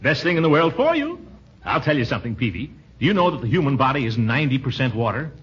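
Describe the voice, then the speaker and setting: An actor playing a pompous, flustered middle-aged bachelor uncle in a blustery, hemming 1940s radio comedy style. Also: male voice, medium pitch, sing-song, fast talker, lightly acted. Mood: pompous, insistent. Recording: muffled, noisy 1940s radio broadcast recording.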